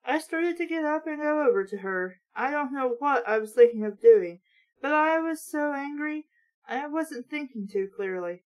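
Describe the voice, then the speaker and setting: High-pitched woman, blunt and ranting. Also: expressive, normal speed, a little mellow, rough recording. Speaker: host reading a book aloud with commentary. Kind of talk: casual, sweary reading with sarcastic running commentary.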